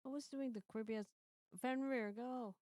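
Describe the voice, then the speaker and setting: A woman's voice: high voice, fairly even intonation, moderate pace, darkish tone. A woman chatting casually as a co-streamer during a group game stream. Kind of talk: casual chat.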